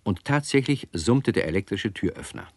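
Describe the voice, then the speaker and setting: Casting: male narrator for children's radio tales, lowish voice, lively inflection. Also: normal speed, no room sound, clean studio sound. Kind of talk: scripted children's story narration.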